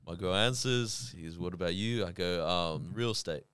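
A man's voice: sits low, moderate pace, clean tone, no room sound, studio quality. A man from Iraq chatting casually as a podcast co-host, telling anecdotes in slangy language and acting out the dialogue. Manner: animated, slangy storytelling with acted-out dialogue. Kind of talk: casual banter and anecdotes with friends.

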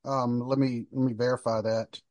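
A male podcast host with a mid-pitched voice, recorded dry and close to room-free. He talks casually and conversationally, offering opinions in a sports discussion. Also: level tone, moderate pace, darkish tone, dry recording, muffled recording.

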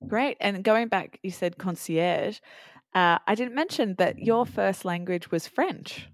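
A woman's voice: mid-range voice, lively intonation, a little slow, no room sound. A woman interviewing a guest, asking curious, encouraging questions.

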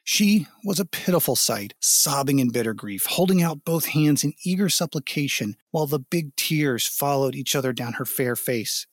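A male storyteller with a medium-pitched voice reads a scripted folk tale. He is dramatic and expressive, stepping out now and then to explain. The recording is dry and free of room sound. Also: normal speed, few pauses, dry recording.

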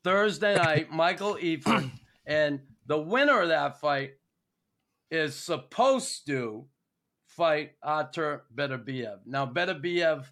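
A man hosting a podcast interview, jokey and quick to laugh, asking blunt questions. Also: fairly high pitch, animated, fairly bright tone. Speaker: podcast host.

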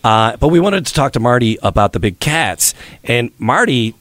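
A man hosting a pet radio show in casual chat, his voice hoarse and froggy, rambling with many restarts. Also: mid-range voice, sing-song, normal speed, a bit bright, no echo.

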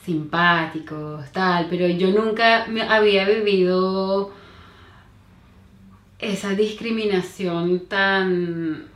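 A woman's voice: mid-range voice, slow pace. Hesitant Venezuelan woman in echoey room. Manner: hesitant, many fillers and restarts. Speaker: interview guest. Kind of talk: casual conversational interview.

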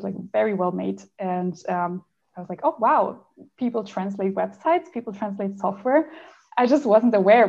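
A woman from Germany tells her career path in a loose, rambling way. Her voice is full of vocal fry, and the audio is slightly dull and lo-fi. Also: mid-range voice, mellow. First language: not French. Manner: chatty, rambling, frequent "like" and laughs. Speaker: interview guest. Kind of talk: casual career story.

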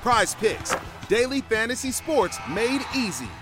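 A man's voice: very high voice, very animated, a little slow. A man reading an upbeat promotional advert as a commercial announcer. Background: music.

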